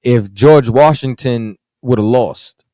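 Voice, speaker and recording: A man's voice: medium pitch, takes it slowly, warm tone, no echo. A man talking casually on a podcast as a guest author, rambling with lots of "you know what I'm saying".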